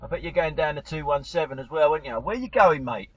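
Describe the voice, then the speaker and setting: Exasperated British man, husky, lo-fi. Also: on the high side, expressive, fast, no echo. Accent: British English. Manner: exasperated outbursts at other drivers. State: stuffy nose from a cold. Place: inside his car while driving.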